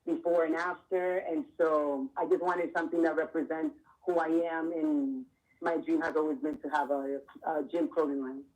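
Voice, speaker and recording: A woman's voice: sits low, fairly even intonation, normal speed, a little mellow, poor audio. A woman from Miami, probably in her thirties, earnestly explaining her clothing line as an interview guest, with some fillers. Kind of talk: casual interview answers.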